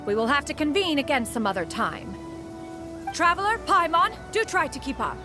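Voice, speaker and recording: A woman's voice: high voice, very animated, normal speed, music in the background. A woman voicing an ancient, aloof female crane spirit who speaks formally and calls herself "one", in a video game. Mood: poised. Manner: archaic formal speech, refers to herself as "one".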